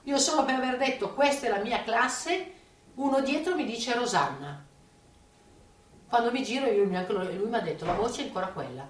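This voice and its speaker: A woman's voice: a little high, expressive, normal speed. An older woman giving testimony as a casual, conversational anecdote. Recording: echoey room, distant microphone.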